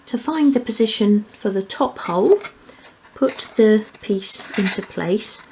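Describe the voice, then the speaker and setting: A woman's voice: medium pitch, animated, slow pace, darkish tone, slightly rough, low-quality recording. A woman presenting a hands-on craft tutorial, explaining each step methodically. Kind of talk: hands-on step-by-step instructional demonstration.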